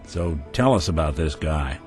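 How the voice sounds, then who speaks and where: Tired robot detective from a game, low gruff voice, dry drawl, faint music under it. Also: male voice, animated, moderate pace, a little mellow. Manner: laconic, drawling, gruff noir delivery. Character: world-weary male synth detective, dry and wry. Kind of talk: game companion dialogue.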